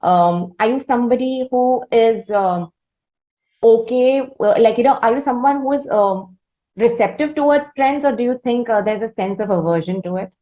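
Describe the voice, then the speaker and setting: A woman's voice: on the high side, moderate pace, dark tone. A woman with an Indian accent asks questions as a podcast interviewer, phrasing them in a hesitant, roundabout way. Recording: slightly dull, lo-fi audio.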